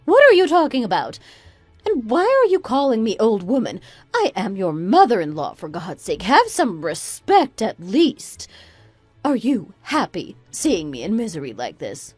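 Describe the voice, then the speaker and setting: A woman's voice: mid-range voice, wildly swooping pitch, a little slow, no room sound, soft background music. A woman playing a manipulative, self-pitying older mother-in-law who wheedles in a melodramatic radio drama. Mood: offended. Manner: wheedling, guilt-tripping. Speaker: voice actor in an online radio drama.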